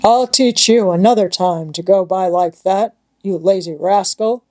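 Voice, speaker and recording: A woman reading a storybook as a gruff, capable old grandfather from the mountains, firm and decisive. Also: medium pitch, unhurried, mellow, natural voice. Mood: scolding.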